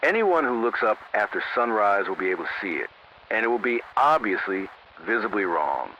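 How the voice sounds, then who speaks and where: A man playing a sober local AM radio announcer in an analog horror emergency broadcast, speaking in a grave, measured, formal way through a radio filter. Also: lowish voice, big pitch swings, pushed and bright, exaggerated voice. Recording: narrow-band AM radio with static noise.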